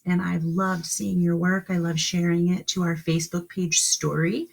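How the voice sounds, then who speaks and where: A woman's voice: lowish voice, little pitch movement, moderate pace, gravelly. A woman teaching kindergarten on a live stream, speaking encouragingly to children in simple questions and instructions.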